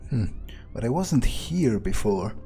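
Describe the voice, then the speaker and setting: A man playing a wary, crude, sardonic vampire in an improvised video game roleplay, muttering his thoughts with hesitant pauses. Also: medium pitch, big pitch swings, vocal fry, warm tone, soft background music. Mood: musing, wary. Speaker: gamer playing in character.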